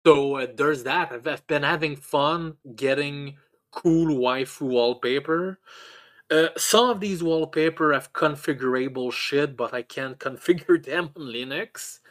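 A man hosting a casual chat in a halting, stammering way, with blunt swearing. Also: a little high, fairly bright tone. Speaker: podcast host.

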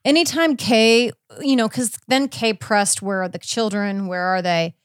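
A woman co-hosts a podcast in an easy conversational style. Her voice sits at a middle pitch. She explains things step by step as in a casual recap, recorded dry with no room sound. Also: steady tone, a little slow, clean tone, no echo. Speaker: podcast host. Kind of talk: casual chat recapping a court case.

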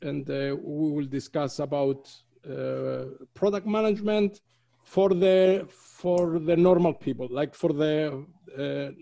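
A man's voice: a little high, slow pace, creaky, mellow. A man with a Cypriot accent hosting a casual interview, hesitant with many fillers. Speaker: host.

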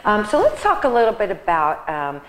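A woman's voice: mid-range voice, huge pitch swings, a little fast, a bit bright. A woman hosting a casual interview show, chatty, with many fillers and restarts. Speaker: host. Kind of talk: casual studio interview chat. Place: catering kitchen set. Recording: roomy hall with long echo.

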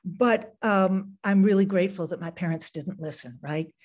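A woman's voice: on the low side, moderate pace, a little mellow. Greek-born woman author, reflective. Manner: reflective, with frequent ums. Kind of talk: reading aloud, then talking about her writing.

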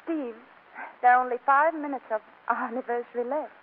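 An actress playing a hurt, proud wife with a pointed dramatic manner in a 1940s radio comedy, on a tinny recording. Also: female voice, high voice, fairly quick. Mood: wounded. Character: hurt, proud young wife doubting her husband.

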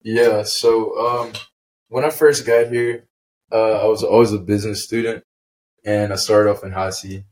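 Easygoing young man, low voice. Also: little pitch movement, unhurried, darkish tone, rough recording. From Memphis, Tennessee. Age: 20s. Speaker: guest. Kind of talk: casual chat.

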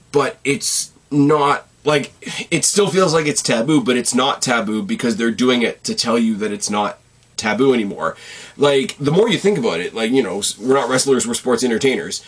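A man hosting a wrestling show, talking in a casual, opinionated way while losing his voice and sounding a bit raspy. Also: medium pitch. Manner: opinionated, swearing, rambling. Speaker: wrestling podcast host. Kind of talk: casual commentary.

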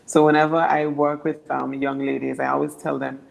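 A young woman from Anguilla with a naturally deep voice, answering interview questions earnestly and often repeating words as she builds her points. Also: level tone, brisk, vocal fry, poor audio. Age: young adult. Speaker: political candidate.

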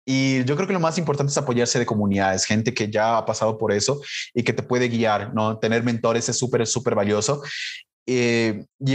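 A man from Latin America talking as an interview guest in a relaxed way, with many fillers and restarts. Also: medium pitch. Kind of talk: casual interview talk.

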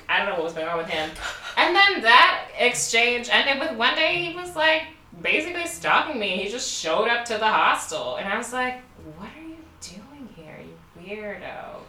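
Young woman from Baltimore, casual podcast co-host, bright voice, recorded off-mic in an echoey room. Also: mid-range voice, distant mic, slight background noise. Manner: candid, laughing, many "like" fillers. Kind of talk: casual storytelling chat.

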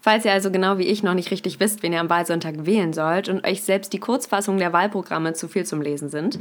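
Young woman hosting a podcast. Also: on the low side. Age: young adult. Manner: explanatory, addresses listeners directly. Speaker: podcast host. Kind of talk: scripted podcast intro and explainer.

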